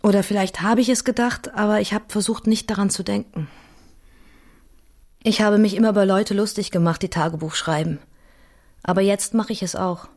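An actress playing an insistent woman in her late thirties, clearly enunciating in a naturalistic radio drama. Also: medium pitch, fairly even intonation, moderate pace, a little mellow, close to an everyday voice. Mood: subdued, confessional. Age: 30s. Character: Mira, tireless, insistent interpreter friend in her late thirties.

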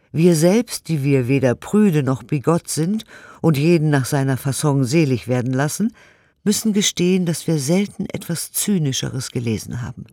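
A man's voice: fairly high pitch, a little mellow, no room sound. A man giving a formal, declamatory scripted reading of old documents for a radio play, close to a studio microphone. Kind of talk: scripted dramatic reading of historical press and court reports.

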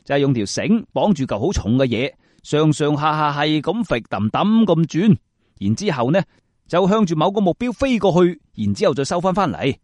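A man with a Guangzhou Cantonese accent telling scripted folk stories in an expressive, colloquial, explanatory way. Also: on the high side, no room sound, studio quality. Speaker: storyteller and presenter of Cantonese folk sayings. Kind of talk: lively scripted storytelling narration.

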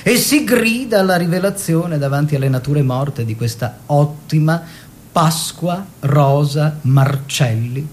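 A man's voice: expressive, measured pace. An actor playing a theatrical man of the arts world, declamatory and ironic, in a radio drama style. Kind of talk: theatrical narration and dialogue for radio. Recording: radio play with faint noise and rumble.

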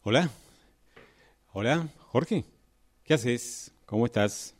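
A man's voice: medium pitch, lively intonation, slow pace, dry recording. A man with an Argentine Rioplatense accent playing a crude, gossipy neighbourhood guy on the phone in a radio drama. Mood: friendly.

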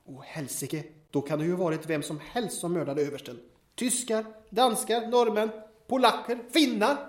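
A man's voice: high voice, fairly even intonation, close to an everyday voice. A man playing a squeamish, nervous amateur investigator in an audio drama. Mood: excited, insistent. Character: squeamish, queasy male amateur investigator, eager to conclude. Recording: roomy, echoing sound.

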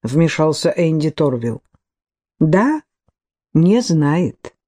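A woman narrates a detective novel aloud at a slow, deliberate pace. Her voice sits a little low and sounds mellow and warm. She reads every character's dialogue herself without changing her own voice much. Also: very animated. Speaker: audiobook narrator. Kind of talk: scripted audiobook narration.

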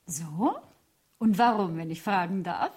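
A woman's voice: lowish voice, expressive, measured pace, a little mellow, husky. An actress playing a proud but vulnerable young woman, a blackmail victim in a German radio drama, with an agitated, emotional delivery. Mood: guarded.

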